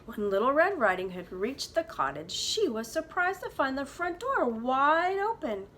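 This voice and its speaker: A woman's voice: sits high, big pitch swings, unhurried, a bit bright, a little hiss or hum. A woman preschool teacher reading a storybook aloud to young children, with dramatic emphasis.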